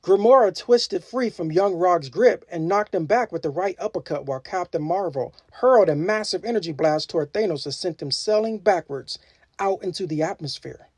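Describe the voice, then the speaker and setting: A woman reading a children's adventure story aloud as scripted narration, with a dramatic, expressive delivery. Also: fairly low pitch, moderate pace, slightly rough, no echo, clean studio sound. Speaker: storybook reader.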